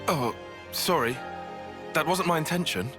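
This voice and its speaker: An actor playing an earnest, considerate young comrade in a fantasy video game, speaking in a thoughtful, measured way. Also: male voice, on the high side, lively intonation. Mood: sheepish, surprised. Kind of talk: video game cutscene acting. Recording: loud background music.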